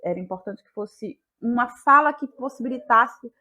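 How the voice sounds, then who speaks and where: A woman's voice: fairly high pitch, lively intonation, normal speed, sharp tone. Thoughtful woman in interview. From Bahia, Brazil. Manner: searching, thoughtful, repeats words as ideas form. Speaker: interviewed guest, playwright and actress. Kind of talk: reflective interview talk about creative process.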